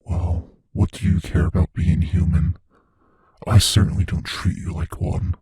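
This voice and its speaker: Man voicing a husky, deep, sadistic monster. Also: fairly even intonation, dark tone, heavily acted. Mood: gloating, cruel amusement. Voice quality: breathy, husky whispery voice. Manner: slow, taunting, menacing, savoring words. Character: sadistic male monster from under the bed, gleefully cruel. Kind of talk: intimate ASMR audio roleplay.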